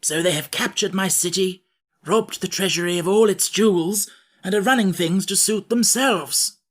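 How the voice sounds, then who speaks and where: A soft-spoken, courteous scarecrow monarch with a slightly raised, doleful tone, as a male audiobook reader puts him on. He speaks politely and a little glumly. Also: sits high, moderate pace, voice pushed up. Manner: mild and polite, rather mournful. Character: polite, doleful straw-stuffed king. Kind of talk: audiobook character voice.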